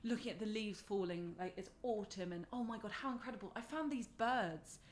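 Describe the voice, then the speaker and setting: A woman's voice: medium pitch, a little fast, slight background noise. A young woman, chatting enthusiastically as a podcast guest, often restarting her sentences. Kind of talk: casual conversation.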